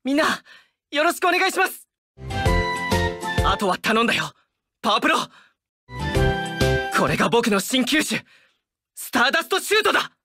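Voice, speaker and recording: An actor playing a sincere, clean-cut, youthful boy pitcher in a baseball game. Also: very animated, moderate pace, pauses often, over loud music. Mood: bright, earnest. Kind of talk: anime-style game character lines.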